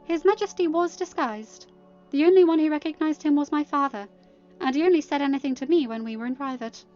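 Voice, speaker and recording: A woman's voice: high voice, brisk, a little mellow, muffled recording, soft background music, voice pushed up, natural voice. A woman voicing a proud young woman who speaks coolly and pointedly in a storybook reading. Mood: cool, controlled. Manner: cool, pointed. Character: proud young woman, cool, sharp, defensive of her father.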